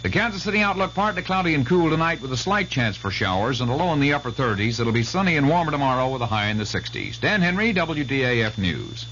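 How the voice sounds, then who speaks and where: A man's voice: medium pitch, big pitch swings, fast, hardly any pauses, fairly bright tone. A man reading sports, news and weather as an announcer on 1970s radio. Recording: muffled old radio broadcast, faint hiss.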